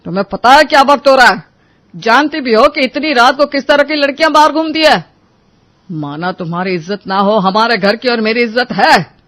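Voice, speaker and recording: A man's voice: extremely high pitch, lively intonation, normal speed, pushed and bright, heavily acted. A man playing a stern, domineering old father-in-law in a story drama, sarcastic and scolding. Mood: angry scolding. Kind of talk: Hindi moral-story audio drama.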